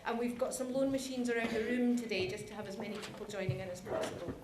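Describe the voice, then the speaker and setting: A woman's voice: fairly high pitch, fast talker. A woman giving an informal, good-humoured conference presentation. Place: large conference room. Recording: roomy, clearly noisy conference hall recording.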